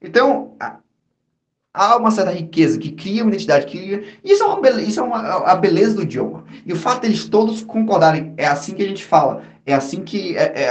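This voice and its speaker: Animated Brazilian man in an echoey room. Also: high voice. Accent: Brazilian Portuguese. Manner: animated, slangy, many restarts. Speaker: podcast co-host. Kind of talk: casual chat.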